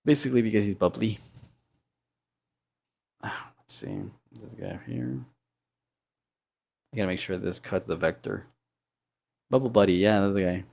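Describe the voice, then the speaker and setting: A man with a low baritone voice and a sore throat, chatting casually and humming to himself while he animates on a live stream. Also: moderate pace, creaky, pauses often, a little mellow. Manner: rambling, thinks aloud, hums and mutters sound effects.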